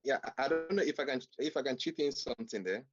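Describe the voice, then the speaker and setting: A man's voice: mid-range voice, fast talker, over music. A man speaking in a panel discussion, hesitant, with many fillers, restarts and a habit of saying okay. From Nigeria. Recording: muffled online call audio.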